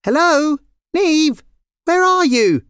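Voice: high voice, animated, slow pace, fairly bright tone, no room sound, clean studio sound, voice pushed up, heavily acted. A storyteller voicing a curious, puzzled young girl in a children's story. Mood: calling out, puzzled.

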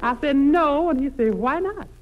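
A man's voice: extremely high pitch, animated, normal speed. A man playing a sassy, jolly woman cook with a drawling comic delivery in 1940s radio comedy. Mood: mock indignant. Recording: dull, noisy old radio recording.

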